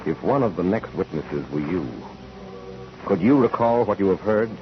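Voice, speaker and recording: Music plays strongly behind a grave male announcer of an old radio drama. His very deep voice is solemn and portentous, on a dull vintage recording. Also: expressive, moderate pace. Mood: solemn, dramatic. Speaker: narrator. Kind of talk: 1940s radio scripted narration. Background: loud music.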